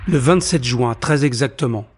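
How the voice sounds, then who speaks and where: A man's voice: mid-range voice, normal speed, slightly rough, lightly acted. An actor playing an anxious, self-deprecating man of thirty-one who tells his own story in a theatrical audio drama. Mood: tense, suspenseful. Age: 30s. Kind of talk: first-person audio drama narration.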